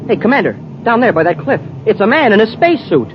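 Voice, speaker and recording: An actor playing an eager young space cadet sidekick in a 1950s radio serial, deferential and excitable. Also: male voice, sits high, fast talker. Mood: excited. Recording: noisy, dull old radio recording.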